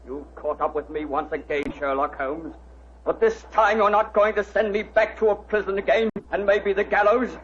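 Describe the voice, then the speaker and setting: An actor in a 1940s radio drama playing an older escaped criminal, desperate and defiant. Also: male voice, high voice, steady tone, moderate pace, natural voice. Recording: dull, noisy old radio recording.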